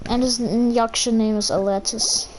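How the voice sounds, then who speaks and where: A woman's voice: fairly high pitch, fairly even intonation, moderate pace, rough recording. A woman streaming a game with casual commentary and blunt, grumbling asides. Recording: faint background noise.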